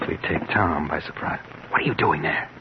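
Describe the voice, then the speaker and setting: An actor playing a cocky, sly American escaped convict in a vintage radio drama, bossy and sardonic. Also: male voice, a bass voice, moderate pace. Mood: urgent, bossy. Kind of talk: 1950s radio drama acting. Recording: muffled, very noisy vintage radio recording.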